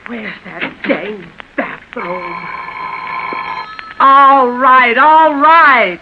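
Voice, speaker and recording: A woman's voice: on the high side, drawn-out words. An actress in a 1940s radio drama playing a flustered older woman who mutters to herself while hunting for things, in a muffled old broadcast with hiss. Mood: fussing.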